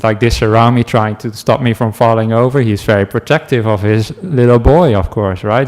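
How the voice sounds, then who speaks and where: A man from the Netherlands with a Dutch accent, a meditation teacher giving a relaxed talk to a class, telling stories with asides and small laughs. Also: medium pitch. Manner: gentle, chatty storytelling with asides and small laughs.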